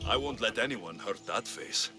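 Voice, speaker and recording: Man playing a cocky, animated mercenary hero. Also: lowish voice, normal speed, close to an everyday voice. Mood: flirtatious. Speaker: video game protagonist. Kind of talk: video game cutscene acting. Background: loud music.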